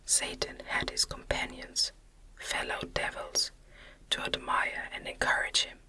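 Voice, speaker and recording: Female ASMR narrator, breathy whisper, slow and deliberate, crackly edge, gothic literary reading, close mic. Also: very high voice, pushed and bright. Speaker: audiobook narrator. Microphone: close microphone.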